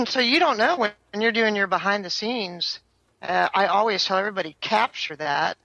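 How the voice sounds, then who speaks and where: An American singer-songwriter in her fifties chats through an interview, rambling along with plenty of fillers. Her voice is bright and forward. The sound is dry and close. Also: medium pitch, animated, moderate pace, gravelly, dry recording, dull audio. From United States. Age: 50s. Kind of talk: casual interview chat.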